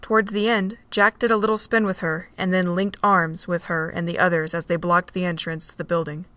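A woman narrating an amateur audio drama in a steady, plain reading. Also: lowish voice, a little fast, no echo. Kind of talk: storybook narration.

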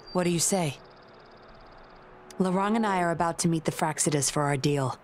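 A woman's voice: lowish voice, darkish tone, natural voice. A woman playing a cool, self-assured undercover investigator in a game, composed, knowing and a little teasing.

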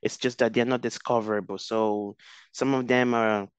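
A man's voice: medium pitch, steady tone, normal speed, smooth, dry recording. A young man from Nigeria, a researcher interviewed over a video call, speaking thoughtfully with many restarts and fillers. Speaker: guest researcher. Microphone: headset.

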